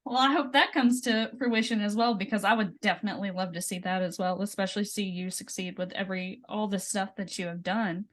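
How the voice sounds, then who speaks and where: A woman's voice: medium pitch, fairly even intonation, brisk, few pauses, fairly bright tone. Enthusiastic woman podcast host. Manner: enthusiastic, encouraging, with rambling questions. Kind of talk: casual interview talk.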